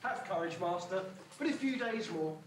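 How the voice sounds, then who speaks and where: A man's voice: sits high, expressive, normal speed, background noise. A man playing a pompous, loyal servant in an amateur pantomime, declaiming grandly in archaic rhyme. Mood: dutiful, reassuring. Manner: grand, declamatory, archaic thee-and-thou speech. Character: pompous, loyal old-world servant of an enchanted lord. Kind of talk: amateur pantomime stage acting in rhyming verse. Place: school hall stage. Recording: echoey distant hall recording.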